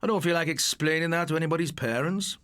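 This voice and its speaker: A man's voice: fairly high pitch, quick pace, a bit bright, no room sound, studio quality, pitched above the performer's own voice, natural voice. A man voicing a strict, sarcastic male schoolteacher who lectures drily in an audiobook. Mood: stern, instructive. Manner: sardonic, instructive. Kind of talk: audiobook character voice.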